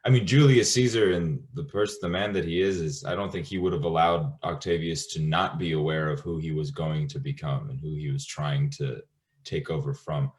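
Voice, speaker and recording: A man speaks casually on a video-call panel. His voice is strikingly deep, far lower than most men's. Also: fairly even intonation, normal speed, darkish tone. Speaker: actor on a discussion panel.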